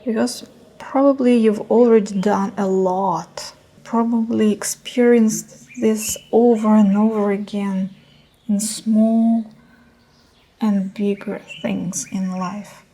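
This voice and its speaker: Slow, mellow woman guiding a meditation. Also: mid-range voice, steady tone, rough recording. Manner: soothing, unhurried, gentle pauses. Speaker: meditation guide. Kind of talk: guided meditation.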